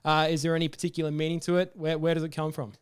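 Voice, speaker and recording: This is an Australian man hosting a relaxed sports podcast. He talks fast and his voice is clean and smooth. His questions run long and friendly, padded out with fillers. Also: on the high side. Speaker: podcast host. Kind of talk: casual interview.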